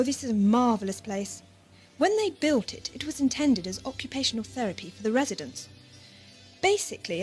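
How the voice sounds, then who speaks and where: A woman's voice: fairly high pitch, close to an everyday voice. A woman playing a naive, devoted, childlike young woman in an audio drama, with a hesitant girlish manner. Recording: amateur 1980s audio drama with music.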